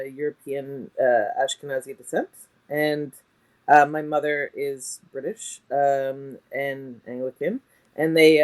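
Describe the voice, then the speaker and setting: A woman's voice: low-pitched, poor audio. A middle-aged woman from Canada answering casually as a guest, hesitant and self-deprecating. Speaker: theatre director and actor, podcast guest.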